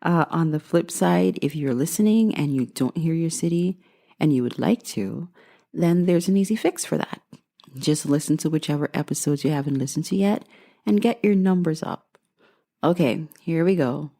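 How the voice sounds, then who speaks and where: A woman's voice: low voice, normal speed, vocal fry, mellow. A woman from Guyana hosting her own podcast, talking straight to her listeners in a grateful, upbeat way. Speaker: podcast host. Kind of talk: casual solo podcast monologue.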